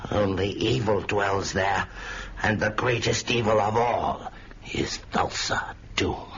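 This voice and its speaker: An actor playing a wizened old wizard who guards burial mounds, speaking solemnly and dropping into whispers. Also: male voice, on the low side, fairly bright tone, husky, exaggerated voice. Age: older. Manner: slow solemn chanting, drops to whispers. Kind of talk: radio drama acting. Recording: dull lo-fi audio, faint hiss.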